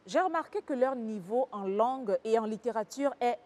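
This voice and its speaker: A worried, complaining parliamentarian, played by a female comedian. She deplores things formally, her pitch moving widely in lively sketch comedy. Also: moderate pace, lightly acted. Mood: deploring. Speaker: comedian on a satirical parliament show.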